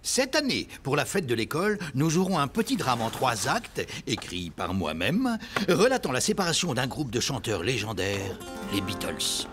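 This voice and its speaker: An actor voicing a pompous, solemn male schoolteacher in an animated cartoon, with theatrical delivery over music. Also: mid-range voice, normal speed. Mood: solemn, grandiose. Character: pompous male schoolteacher announcing his own play. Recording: music playing behind.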